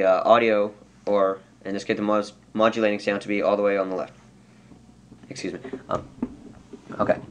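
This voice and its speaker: A man giving an unscripted, casual software tutorial, explaining step by step and correcting himself as he goes. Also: on the low side. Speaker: tutorial presenter. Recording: clear background noise.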